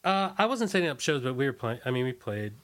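A middle-aged American man reminiscing casually as an interview guest, talking in a halting, rambling way with lots of "like". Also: medium pitch, big pitch swings, a bit bright. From Washington, D.C., USA. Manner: halting, hesitant, rambling, frequent "like".

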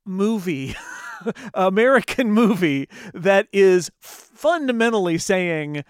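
A man with a fairly high, smooth and clear voice talks in halting bursts, stumbling over repeated words and fillers. The recording is close and dry. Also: sing-song, no room sound. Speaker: podcast co-host. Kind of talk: casual film discussion.

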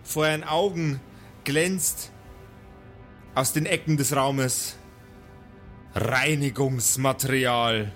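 A man with a medium-pitched voice leads a tabletop role-playing game as its game master. He improvises descriptions with some theatrical drama and slips into banter. Music plays faintly behind him. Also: steady tone, takes it slowly, long pauses, fairly bright tone.

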